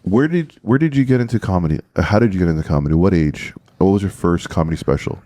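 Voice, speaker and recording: Deep-voiced halting podcast host. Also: male voice, expressive, warm tone, no room sound, clean studio sound. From Canada. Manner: halting, full of ums and restarts. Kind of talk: casual podcast interview.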